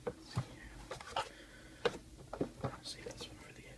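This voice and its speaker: A man softly whispering in a breathy voice as he casually hosts an ASMR unboxing, unhurried and a little hesitant, recorded on a phone. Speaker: ASMR video host.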